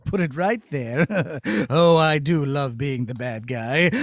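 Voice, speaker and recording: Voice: mid-range voice, a little mellow, no echo, voice pushed down, exaggerated voice. A performer voicing a gloating, scheming, touchy villain in a theatrical children's puppet show. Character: gloating scheming male villain, touchy, loves being bad.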